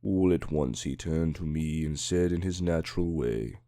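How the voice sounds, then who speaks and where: A man's voice: very deep voice, moderate pace, dark tone, no echo, clean studio sound. A man reading scripted fiction as an audiobook narrator, in a dramatic storytelling style.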